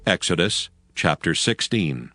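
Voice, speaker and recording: A man narrating a dramatized Bible in a measured, solemn storytelling manner. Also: sits low, expressive, bright, forceful tone.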